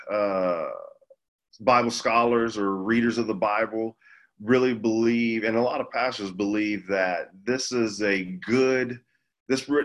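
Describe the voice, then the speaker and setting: A man speaking as a pastor, giving an informal Bible teaching in a measured, halting way with pauses mid-phrase. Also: mid-range voice, a bit bright. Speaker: pastor leading a church group.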